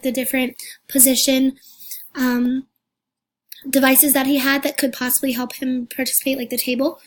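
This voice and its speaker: A hoarse young woman giving a recorded class presentation, talking hesitantly with many fillers and restarts. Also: sits high. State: hoarse, losing her voice. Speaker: student presenter.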